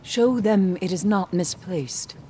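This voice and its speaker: A woman playing a solemn, mysterious mentor in a video game, speaking in an earnest, measured way. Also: mid-range voice, dark tone, slight background noise, close to an everyday voice. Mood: solemn, urging. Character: solemn, mysterious mentor urging use of darkness. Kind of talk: dramatic video game voice acting.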